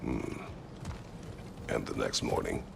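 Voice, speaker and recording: A man playing a grim, terse middle-aged warrior in a video game, solemn and gravelly. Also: slow, a little hiss or hum, close to an everyday voice. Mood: gruff curiosity. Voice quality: very deep, gravelly. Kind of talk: dramatic video game acting. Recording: loud music under the voice.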